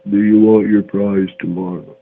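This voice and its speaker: A man's voice: fairly low pitch, flat delivery, normal speed. A man on a phone call, blunt and pushy, laughing off questions and repeating his demands. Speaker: phone scammer. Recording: tinny phone line with faint hiss.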